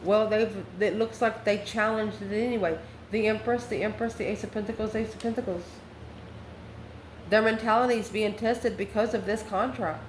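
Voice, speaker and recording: Female tarot channel host, mid-pitched voice, off-the-cuff and musing, recorded in a roomy space with faint noise. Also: steady tone, moderate pace. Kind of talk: casual, improvised tarot reading.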